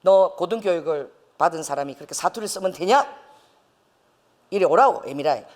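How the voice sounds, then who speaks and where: A woman's voice: lowish voice, lively intonation, a little fast, heavy background noise, voice pushed down, close to an everyday voice. A woman voicing her stern, elderly military father-in-law, scolding in a commanding way.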